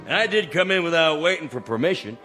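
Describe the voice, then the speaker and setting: Bitter drunk foreman, bright forceful voice. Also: fairly high pitch, lively intonation, fast. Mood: drunken taunting. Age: middle-aged. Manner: taunting, sarcastic, emphatic. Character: drunk, bitter, defiant veteran factory foreman. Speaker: factory foreman. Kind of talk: mid-century television drama acting. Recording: old TV soundtrack with music behind.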